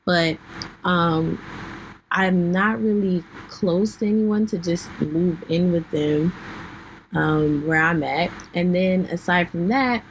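A young woman hosting her own podcast, losing her voice and clearing her throat, talking candidly to listeners with many fillers. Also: lowish voice, slow, poor audio. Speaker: podcast host. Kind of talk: personal talk to listeners. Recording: faint background noise.

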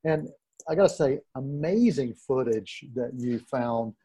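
A man's voice: mid-range voice, expressive, a little slow, dark tone, husky. A middle-aged man hosting an interview, speaking conversationally in hesitant, broken-up phrases. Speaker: interview show host.

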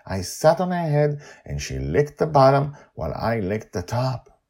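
An eager young adventurous girl, acted by a man, pitched far up and swooping excitedly. She exclaims everything with wide wonder, in storybook style. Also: moderate pace, gravelly. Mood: fond, eager. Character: excitable eleven-year-old adventurous girl.

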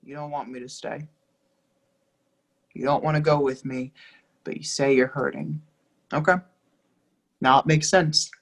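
A woman's voice: low-pitched, fairly even intonation, a little slow, pauses often. Woman acting a heartbroken, pleading young lover. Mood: hurt, subdued. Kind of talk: readers theatre play reading.

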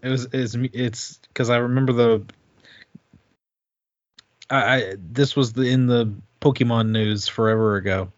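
A man's voice: medium pitch, steady tone, a little slow, pauses often, dry recording, muffled recording. A man chatting casually as a podcast co-host, tentative and correcting himself as he recalls things. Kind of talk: casual chat.

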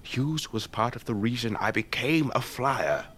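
An actor playing an elderly English retired air force officer in a radio drama, avuncular and chatty, with old-fashioned clipped politeness. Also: male voice, medium pitch, lively intonation, unhurried, fairly bright tone, slight background noise. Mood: wistful, enthusiastic. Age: older.

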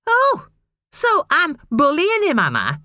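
A woman's voice: medium pitch, very animated, moderate pace, dry recording, clean studio sound, voice pushed up. A woman voicing a spiteful mother who scolds and rants at her son. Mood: scolding. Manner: sharp, scolding, ranting.

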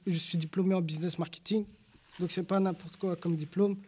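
Young man, high pitch, earnest and indignant, many fillers. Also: fairly even intonation, fairly quick, a little mellow, husky. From Belgium. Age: young adult. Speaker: panel guest. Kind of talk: personal testimony in a panel discussion.

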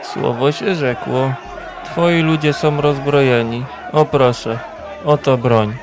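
A man playing a sly, commanding tavern gambling hustler in a fantasy audio drama, with a gravelly voice and sing-song, curt delivery. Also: medium pitch, steady tone, slow pace. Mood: composed, firm. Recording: noisy tavern crowd ambience.

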